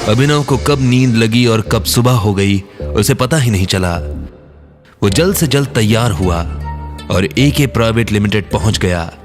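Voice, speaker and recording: A man's voice: on the low side, unhurried, over music. A man reading scripted narration as the storyteller of an audio drama.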